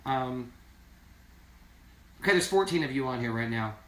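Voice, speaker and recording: Hoarse man chatting on a live stream. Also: medium pitch, lively intonation, moderate pace. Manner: chatty, rambling, reads viewer comments aloud. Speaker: singing duo member hosting a live stream. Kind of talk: casual live-stream chat. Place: small indoor room. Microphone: phone camera at a distance. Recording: echoey room, from a distance.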